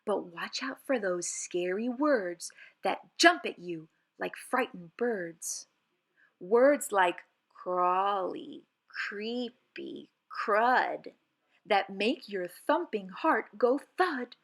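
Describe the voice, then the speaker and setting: A woman reading children's poetry aloud in an expressive, theatrical and suspenseful way, with deliberate phrasing. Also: mid-range voice, vocal fry. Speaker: children's read-aloud presenter.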